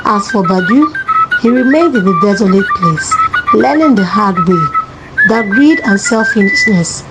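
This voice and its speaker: A woman's voice: medium pitch, expressive, measured pace, over loud music, rough recording. A woman reading a scripted folktale for children as a storyteller.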